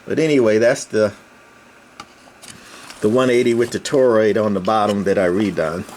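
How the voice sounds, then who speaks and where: A man's voice: fairly low pitch, fairly even intonation, moderate pace, a little mellow. Man giving informal demo over noisy background. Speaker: channel host. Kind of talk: informal hands-on technical demo. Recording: clear background noise, room ambience.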